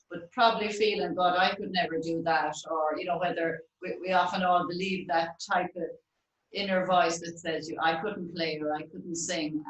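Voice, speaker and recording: Woman interviewer, lower voice, distant, roomy. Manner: rambling, searching questions. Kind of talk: warm interview questions.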